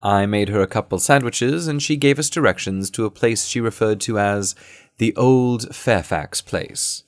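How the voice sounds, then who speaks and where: A man as a low-voiced gentleman adventurer telling his tale. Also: normal speed, dry recording, studio quality, natural voice. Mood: composed, recounting. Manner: formal, wordy, conversational storytelling. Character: genteel male adventurer recounting his exploits to his sister. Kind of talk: first-person audio drama narration.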